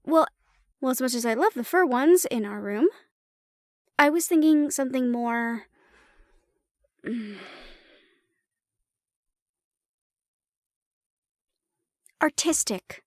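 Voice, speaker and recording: A woman acting as an affectionate, teasing woman in a fantasy roleplay audio drama. Her voice is high and soft, close and very dry. She talks intimately to her partner, unhurried, leaving pauses. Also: expressive, no room sound. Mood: cheerful, chatty. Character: affectionate, teasing human woman, dragon's partner and healer shopkeeper.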